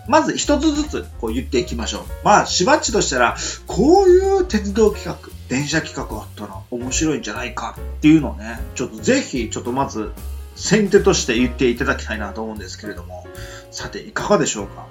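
A man's voice: fast, soft background music. A man hosting a casual late-night radio talk show, speaking with many fillers. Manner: lots of filler words, lively rising pitch when excited.

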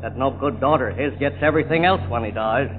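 An actor playing a plainspoken, gossipy middle-aged farmhand and ex-soldier with rustic country speech in a 1940s radio drama. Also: male voice, medium pitch, fast, natural voice. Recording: tinny old radio recording, noisy hum.

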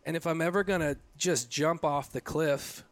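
A man's voice: fairly high pitch, normal speed, no room sound. Late-30s guy chatting about his work, kinda rambly, lots of ums, dry close sound. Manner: reflective, rambling with frequent fillers and restarts. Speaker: guest, photographer. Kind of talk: casual interview conversation.

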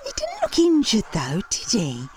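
A woman's voice: fairly high pitch, wildly swooping pitch, normal speed, slightly rough, full character voice. A woman playing a cooing, melodramatic secret lover with a theatrical, doting manner in a comedy audio drama. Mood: doting, uneasy.

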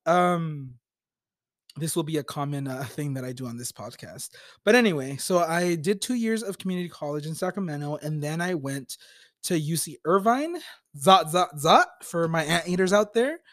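A young man co-hosting a podcast in casual chat, soft-spoken and hesitant, with many ums. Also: on the high side, lively intonation, moderate pace, dry recording, clean studio sound. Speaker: podcast co-host.

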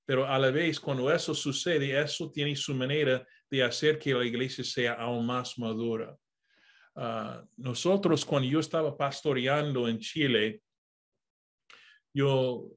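Slow, halting pastor teaching a study. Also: male voice, medium pitch. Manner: unhurried, with frequent "ah" fillers and self-corrections. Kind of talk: online Bible study lesson. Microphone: video call.

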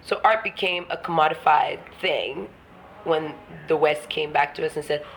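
A woman's voice: low-pitched, moderate pace, slight background noise, low-quality recording. A woman from Ghana talking frankly and reflectively in an interview, laughing often and swearing casually. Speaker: guest artist.

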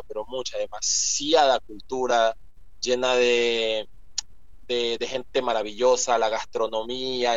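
A man's voice: medium pitch, dry recording. A young man from Ecuador, a guest author talking casually and rambling with many fillers and restarts. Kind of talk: casual interview talk. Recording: muffled lo-fi sound.

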